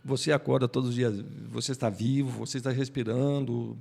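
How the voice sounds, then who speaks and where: An older man, discussing faith conversationally and haltingly, often repeating words as he searches for the next. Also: mid-range voice, level tone, creaky, darkish tone. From Brazil. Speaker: Bible study panelist.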